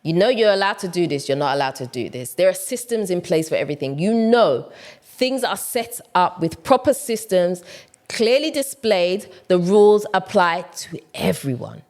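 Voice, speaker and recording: A woman's voice: lowish voice, sing-song, normal speed, a little mellow. A woman with a British accent and some Ghanaian traces, from Ghana, chatting to camera in a casual vlog and repeating words for emphasis. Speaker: vlogger and matchmaker. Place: home in Ghana.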